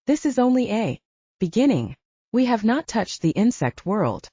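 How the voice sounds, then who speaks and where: A woman plays a grandiose gentleman scientist for an audiobook, holding forth like an orator. The delivery is effusive and pompous, swooping in pitch with a mellow tone, in a dry, slightly dull recording. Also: very animated, moderate pace, husky, no room sound. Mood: expansive, elated. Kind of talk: audiobook character voice.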